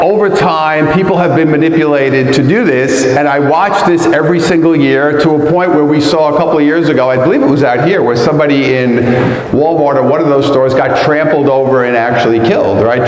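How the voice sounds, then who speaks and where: A middle-aged man with a Brooklyn accent talks to a crowd in a relaxed, conversational way, tossing out questions and a steady "right?". His voice sits a little high for a man. It rings out with a long, roomy hall echo. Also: slight background noise. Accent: Brooklyn New York. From Brooklyn, New York. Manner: conversational, asks the audience questions, frequent "right?". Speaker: inspirational speaker. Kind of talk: motivational talk to a live audience. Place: large echoey hall. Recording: echoey hall reverb.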